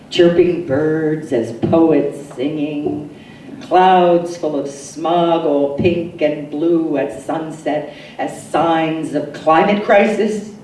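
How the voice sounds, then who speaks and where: A woman poet recites in a mellow, fairly low voice, lingering dramatically over each phrase with deliberate pauses. Her delivery is expressive and unhurried. The recording is very roomy and distant, with faint noise behind her. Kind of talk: poetry reading, recited expressively.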